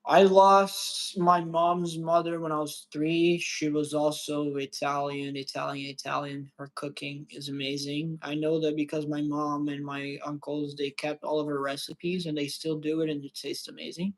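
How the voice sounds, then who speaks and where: A man's voice: on the high side, flat delivery, moderate pace, hardly any pauses, poor audio. A young man from Brazil chatting casually as a podcast co-host, loose and profane, often restarting his sentences. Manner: loose, swearing, rambling with restarts. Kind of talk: casual chat.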